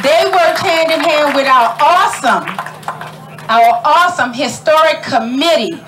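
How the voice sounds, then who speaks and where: A woman's voice: high-pitched, measured pace, noisy background. A middle-aged woman emceeing an outdoor community ceremony, chatty and folksy, laughing often and calling out to the crowd. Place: outdoor tent at a park gathering.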